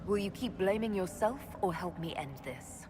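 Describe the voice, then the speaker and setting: An actress playing a composed, determined fantasy heroine in a video game, measured and direct. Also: female voice, mid-range voice, expressive, background noise, close to an everyday voice. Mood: firm composure. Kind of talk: dramatic video game voice acting.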